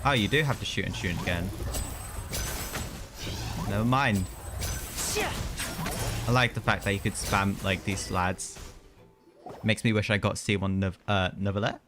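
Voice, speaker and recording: A man's voice: fairly low pitch, measured pace, pauses often. A young man doing casual live gameplay commentary, chatty and slangy with mild exclamations. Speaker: game streamer. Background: game music.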